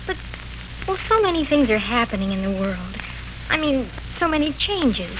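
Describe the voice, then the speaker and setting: A mild, tactful young family member in a 1940s radio drama. She has a rather high voice and a thoughtful manner, with faint noise from the aged recording. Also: very animated, normal speed. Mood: hesitant, thoughtful. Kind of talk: 1940s radio serial acting.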